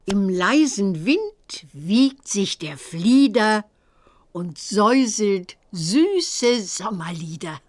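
A woman voicing a witch teacher in a children's computer game, reciting with a deliberate, sing-song delivery. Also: medium pitch, very animated, dry recording, heavily acted. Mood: instructive.

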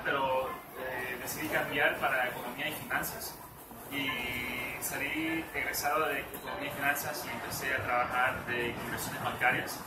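A young man from El Salvador, raised in California, speaking as the guest in a casual interview, hesitant with many fillers. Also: medium pitch. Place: living room at home. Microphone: far camera. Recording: roomy, far from the camera mic.

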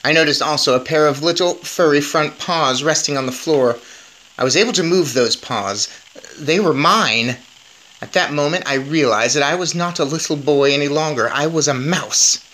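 A man's voice: on the high side, normal speed, pushed and bright. A man in his thirties reading a children's novel aloud with expression. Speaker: story reader.

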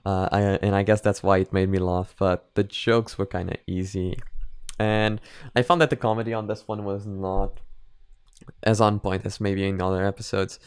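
A man's voice: sits low, flat delivery, moderate pace, clear, resonant tone, dry recording. A man in his twenties giving casual reaction commentary into a close microphone, hesitating and repeating words as he goes. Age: 20s. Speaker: reaction video host. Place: small home room, indoors. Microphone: close microphone on boom arm.

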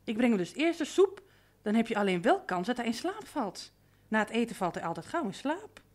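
Housemaid for radio play: cajoling, possessive, familiar, richly varied intonation. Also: female voice, fairly high pitch, sing-song, fairly quick, darkish tone. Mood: coaxing, placating. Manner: cajoling, intimate. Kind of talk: radio drama acting.

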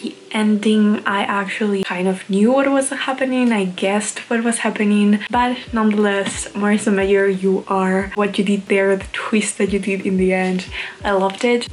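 A young woman from Spain, speaking English, chatting candidly and often softly about books in her bedroom, filmed at arm's length. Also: medium pitch, normal speed, a little hiss or hum, poor audio. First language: Spanish. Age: young adult. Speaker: book vlogger. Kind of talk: casual vlog chat. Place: bedroom at home. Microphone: on-camera mic, camera at arm's length.

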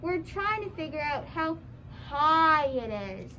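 Bright, high, confident puppet played by a young teen. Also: a little slow, a little hiss or hum, low-quality recording. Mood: earnest. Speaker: student puppeteer in a school drama show. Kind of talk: puppet show comedy skit.

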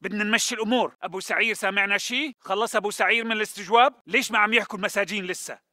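A man's voice: sits high, fairly even intonation, very fast, sharp tone, no echo, studio quality. A man playing a tense, impatient militant guard with a Levantine Arabic accent, giving urgent video game chatter.

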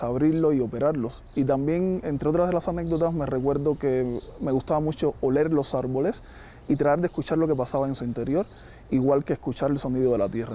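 A man's voice: mid-range voice, mellow, a little hiss or hum. A man in his thirties with a Cuban accent, a research scientist explaining things in a documentary interview, recorded on a lapel mic. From Cuba. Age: 30s. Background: background music.

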